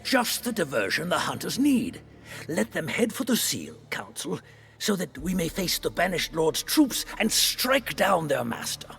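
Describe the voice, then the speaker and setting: A man's voice: on the high side, sing-song, a little slow, gravelly, fairly bright tone, soft background music, exaggerated voice. A man playing a self-assured, scheming council member in a fantasy game, speaking persuasively. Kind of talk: dramatic fantasy game cutscene acting.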